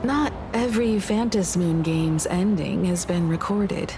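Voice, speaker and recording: A woman voicing a composed, scholarly woman in an anime-style game, speaking with solemn, measured eloquence. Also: fairly low pitch, background noise. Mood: pensive. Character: composed, scholarly woman archivist, grave and analytical.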